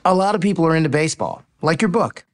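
A man's voice: a little high, lively intonation, fast talker, natural voice. An actor playing a cocky, teasing male pro baseball player in a romantic comedy audio drama. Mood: teasing, unbothered.

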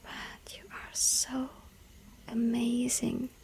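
A woman voicing a lonely yandere vampire girl in an ASMR roleplay, whispering softly and pleading. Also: on the high side, completely flat delivery, very slow, heavily acted. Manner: breathy soft whisper, pleading.

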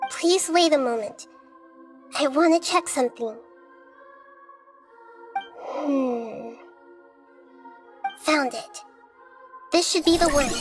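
A woman voicing a small, childlike female deity in a video game, gentle, wise and composed, speaking softly in measured, thoughtful phrases. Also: high-pitched. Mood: calm, thoughtful. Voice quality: soft, girlish. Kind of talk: video game dialogue and fairy-tale narration. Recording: music under the voice. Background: music.

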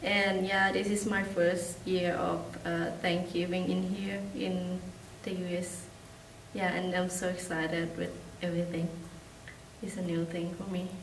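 A woman's voice: lowish voice, level tone, a little slow, echoey room, recorded from a distance, a little hiss or hum, rough recording. Hesitant Thai-accented teen girl. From Thailand. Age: teens. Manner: hesitant, with fillers. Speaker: exchange student.

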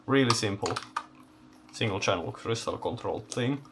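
Low, gravelly man thinking aloud. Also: expressive, fairly bright tone, a little hiss or hum. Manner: halting, thinking aloud in short phrases. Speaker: host of electronics teardown videos. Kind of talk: unscripted hands-on teardown commentary. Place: indoor electronics workbench.

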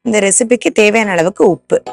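A woman's voice: mid-range voice, animated, a little fast, vocal fry. A woman in her thirties hosting a cooking show, explaining each step plainly from a studio kitchen.

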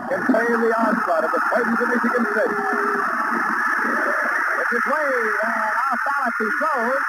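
High-pitched American football announcer, man, noisy vintage broadcast. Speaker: sports play-by-play announcer. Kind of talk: American football live commentary. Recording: very noisy old 1960s TV broadcast.

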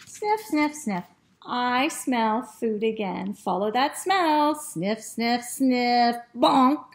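A woman voicing an excitable, greedy male dog in a children's storybook, with exclamations and drawn-out words. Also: a little high, voice pushed up, full character voice. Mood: curious, startled. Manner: exclamatory, drawn-out words and short repeated sniffing phrases. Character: excitable, greedy male dog narrating his food adventures. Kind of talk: expressive children's storybook reading.

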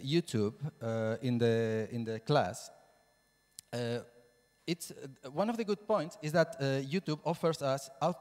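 A man in his forties with a Spanish accent, a native Spanish speaker, giving a halting conference presentation into a handheld microphone, repeating words for emphasis. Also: medium pitch, a little mellow. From Spain. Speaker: Spanish teacher and plenary speaker. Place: lecture hall, indoors. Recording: reverberant lecture room.

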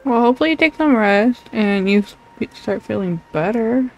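A woman's voice: on the high side, animated, normal speed, muffled recording. A young adult woman chatting casually on a game livestream, scattered and muttering to herself. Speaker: streamer. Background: faint game music.

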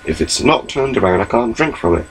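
A man's voice: lowish voice, expressive, a little fast, slight background noise. A young man giving a rambling, chatty webcam update from his small bedroom, recorded on his laptop mic. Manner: rambling, chatty, gestures a lot. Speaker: vlogger.

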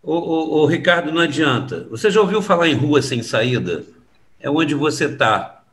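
A man's voice: a little high, lively intonation, normal speed, fairly bright tone. An actor playing a cynical, streetwise middle-aged crook and father in an audio drama, taunting and slangy. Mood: mocking.

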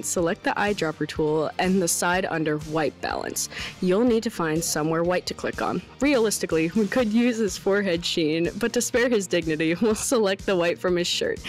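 Young woman narrating, lower-pitched, over music. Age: young adult. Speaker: tutorial presenter. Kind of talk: video editing tutorial narration. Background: background music.

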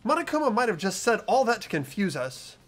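A woman's voice: mid-range voice, big pitch swings, a little fast. A woman voicing an earnest, hesitant ordinary teenage boy in a visual novel dub. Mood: doubtful, earnest.

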